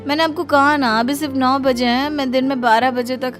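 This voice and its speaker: Woman as a high-voiced, cheeky young bride. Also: steady tone, fairly quick, lightly acted. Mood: defiant. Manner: pert, mock-sweet back-talk. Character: cheeky, stubborn young bride who talks back. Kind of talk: cartoon family drama acting. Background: background music.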